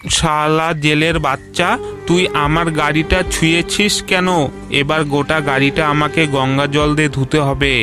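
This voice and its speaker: A man's voice: on the high side, little pitch movement, normal speed, over music, natural voice. A man playing a haughty, scolding tiger father in a cartoon, full of contempt and spite. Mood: contemptuous anger. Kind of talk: cartoon voice acting.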